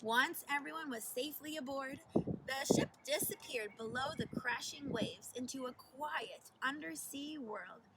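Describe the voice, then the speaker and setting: A woman reading a children's story aloud like a teacher, deliberate and animated, recorded at a distance with faint noise. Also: sits high. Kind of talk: expressive children's storybook narration.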